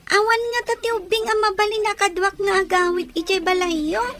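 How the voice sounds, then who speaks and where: Tiny high-voiced timid schoolgirl. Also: level tone, a little fast, fairly bright tone. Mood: polite, uneasy. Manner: polite, anxious questioning. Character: timid, innocent young schoolgirl, polite to her elders. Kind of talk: radio drama acting.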